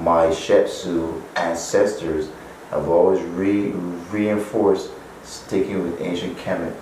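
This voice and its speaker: A man's voice: low-pitched, darkish tone. A man from Barbados, an author answering interview questions at length, with many "you know" fillers. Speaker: author and guest. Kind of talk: interview answers, spiritual teaching. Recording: echoey far-off room, faint noise.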